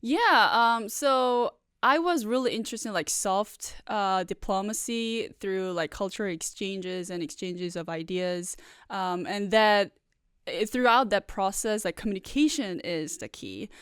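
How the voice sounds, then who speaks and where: A woman's voice: medium pitch, animated, unhurried, fairly bright tone, smooth, dry recording, studio quality. A woman in her thirties from South Korea, a native Korean speaker, answering podcast interview questions thoughtfully with frequent "uh" and "like" fillers. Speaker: guest professor.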